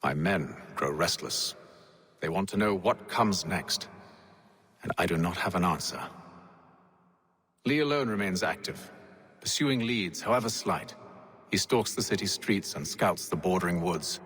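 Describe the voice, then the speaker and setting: A low-voiced British man of authority, leading a secret order in colonial times. He is composed and formal, and chooses his words with deliberate care. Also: animated, fairly bright tone, slightly rough, exaggerated voice. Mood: brooding, reflective. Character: composed, commanding male leader of a colonial-era secret order. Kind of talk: scripted video game acting and narration.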